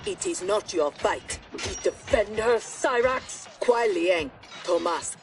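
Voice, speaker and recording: Female ninja enforcer for fighting game: dutiful, clipped, commanding, slightly distant under loud music. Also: medium pitch, expressive, slow. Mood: stern. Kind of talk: dramatic video game cutscene acting. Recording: distant-sounding voice under loud cinematic score.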